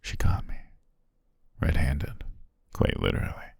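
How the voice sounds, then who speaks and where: A man playing a courteous, self-amused demon king in an ASMR roleplay, speaking in a genteel, formal way with a husky, breathy voice. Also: unhurried, dry recording, natural voice. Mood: nostalgic, wistful. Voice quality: very deep, husky, gravelly, often breathy near whisper. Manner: leisurely, genteel, archly formal with soft chuckles.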